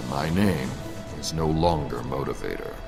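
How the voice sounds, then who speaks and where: A gravelly, very deep, humbled teacher. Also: male voice, lively intonation, moderate pace, heavily acted. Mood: regretful, humbled. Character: sorrowful, humbled male former teacher. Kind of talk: audio drama acting. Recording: very loud music behind the voice.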